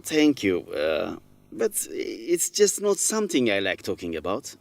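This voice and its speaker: Modest young man with varied pitch. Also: huge pitch swings, measured pace, gravelly, dry recording, exaggerated voice. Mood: guarded, modest. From Ethiopia. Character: modest, reticent young Ethiopian man, student journalist. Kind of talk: educational radio drama acting.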